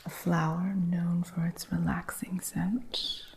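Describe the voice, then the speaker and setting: A woman whispering an ASMR roleplay as a witch shopkeeper, gently teaching and teasingly strict with her apprentice. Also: on the low side, level tone, slow, slight background noise. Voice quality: soft unvoiced whisper. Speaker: ASMR artist.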